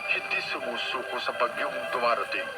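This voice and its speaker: Solemn male narrator over loud music. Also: medium pitch, brisk. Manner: solemn, declamatory. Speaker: radio drama host narrator. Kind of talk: dramatic scripted narration.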